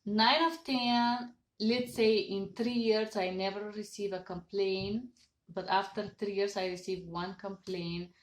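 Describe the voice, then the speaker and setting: A woman's voice: mid-range voice, fairly even intonation, measured pace. A woman in her thirties with a Bulgarian accent, earnestly giving business advice to a webcam and stressing each numbered point. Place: home office with certificates on wall. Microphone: webcam at desk. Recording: echoey room.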